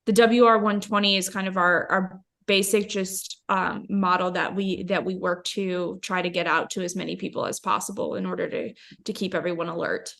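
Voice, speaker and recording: A woman's voice: fairly low pitch, flat delivery, moderate pace, creaky, hardly any pauses, fairly bright tone. A woman company representative answering product questions informally, a little hesitant and correcting herself as she goes.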